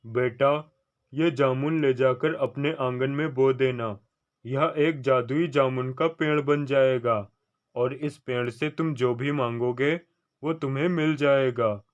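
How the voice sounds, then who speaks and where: A man acts a kindly old wanderer in a cartoon folk tale. He talks very slowly and gently at a mid pitch, with slightly dull sound. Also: fairly even intonation, close to an everyday voice. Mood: kindly, mysterious. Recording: slightly dull lo-fi studio track.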